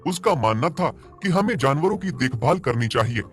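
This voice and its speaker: A man's voice: medium pitch, expressive, normal speed, soft background music. A man narrating a scripted moral story as a storyteller.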